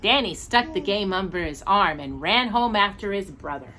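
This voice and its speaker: A woman narrating a children's storybook expressively, in a dramatic, deliberate storytelling manner. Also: mid-range voice, lively intonation, pushed and bright, a little hiss or hum. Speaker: storytime reader.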